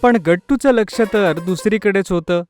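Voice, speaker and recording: A man with a slightly high voice reads scripted narration for a children's story. Faint music plays underneath his telling. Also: normal speed, darkish tone.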